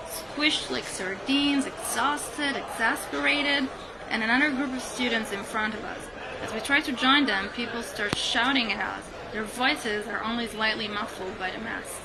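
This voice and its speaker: A woman reading a scripted first-person literary piece aloud as narration. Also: on the high side. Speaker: reader of a literary text. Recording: clearly noisy recording.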